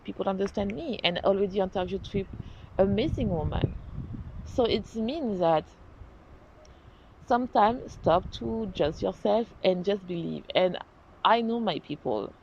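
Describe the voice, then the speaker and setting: A woman's voice: mid-range voice, unhurried. French-accented woman podcasting, lo-fi sound. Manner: earnest, animated, restarts and self-corrections. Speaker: podcast host. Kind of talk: casual solo podcast talk. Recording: dull lo-fi sound, faint noise.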